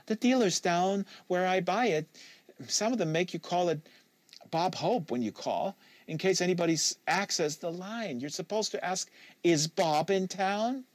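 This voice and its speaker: Man voicing a high-pitched, anxious young woman patient. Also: no room sound. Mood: rambling, agitated. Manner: halting, confessional. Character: depressed anxious young woman, pot-addicted psychiatric patient. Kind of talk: audiobook character dialogue.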